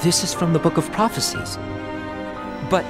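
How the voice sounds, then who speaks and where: An actor playing an earnest, dutiful young apprentice in an animated fantasy game, speaking politely and seriously. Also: male voice, a little high, moderate pace, loud music behind the voice, close to an everyday voice. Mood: polite, curious.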